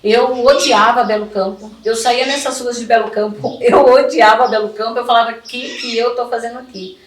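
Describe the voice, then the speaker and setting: A woman's voice: a little high, steady tone, moderate pace. A middle-aged woman gives personal testimony in conversation, devout, colloquial and emphatic. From São Paulo, Brazil. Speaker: interview guest telling her life story. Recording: echoey, distant mic, clearly noisy.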